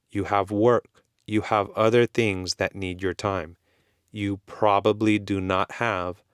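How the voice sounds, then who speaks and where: A man with a Californian accent talks to English learners at a very slow, careful pace, with plain words and repeated points. His voice sits a little low and sounds smooth and clear. It is recorded close and dry, with no room sound. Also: dry recording. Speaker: English teacher. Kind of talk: slow, simple explanation for learners.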